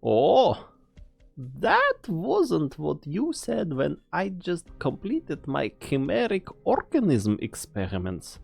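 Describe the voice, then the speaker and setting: A woman's voice: low voice, wildly swooping pitch, slow, creaky, darkish tone, slightly rough, faint music behind, exaggerated voice. A woman playing a sly, taunting, coldly amused scientist in an English fan dub, with a teasing, theatrical delivery.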